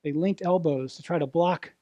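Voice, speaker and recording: A man delivering a sermon as a preacher, earnest and deliberate, putting rhetorical questions to his listeners with dramatic phrasing. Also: a little high, dark tone, no echo, dull audio. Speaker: preacher, ministerial intern. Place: church service, speaking to a congregation.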